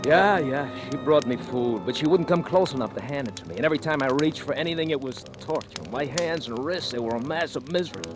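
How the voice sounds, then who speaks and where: An actor playing a desperate fugitive thief in a 1940s radio thriller, with an urgent, haunted delivery. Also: male voice, mid-range voice, expressive, quick pace, few pauses, lightly acted. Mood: tense, bitter narration. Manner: stammering, pleading, urgent. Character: desperate handcuffed fugitive thief, male, frantic and scheming. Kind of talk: 1940s radio thriller acting, narrating and in dialogue. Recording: old radio recording with music.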